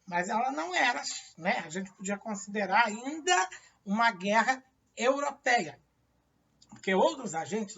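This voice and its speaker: A Brazilian-accented woman delivers a high school history lecture, peppering it with tag questions and rhetorical prompts. Her voice is husky and airy, captured from some distance on a muffled, low-fidelity recording. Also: a bit bright. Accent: Brazilian Portuguese. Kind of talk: classroom lecture.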